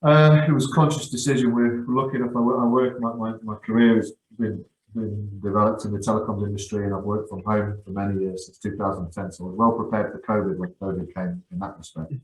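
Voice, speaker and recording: A middle-aged man with a very low voice speaks as a guest on a casual podcast. He is enthusiastic and keeps repeating and restarting his words. His voice comes across with a noticeable room echo. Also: flat delivery, fast, hardly any pauses. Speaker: guest, youth football coach. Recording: echoey room.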